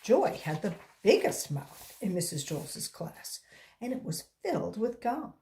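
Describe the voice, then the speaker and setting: Expressive woman reading a children's story, unhurried. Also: medium pitch, a little hiss or hum. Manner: acts out dialogue with emphasis. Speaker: volunteer story reader. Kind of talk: expressive read-aloud for children.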